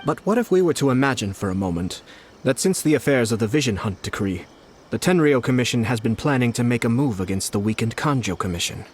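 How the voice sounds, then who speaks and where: An actor playing a composed, scheming young noble strategist in a game, speaking in a measured, persuasive way. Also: male voice, mid-range voice, a little hiss or hum, close to an everyday voice. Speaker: game character. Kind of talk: game voice acting.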